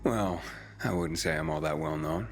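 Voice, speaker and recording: A man's voice: extremely low pitch, moderate pace, slight background noise. An actor playing a wary, blunt and indignant young male survivor in a video game, with music behind him.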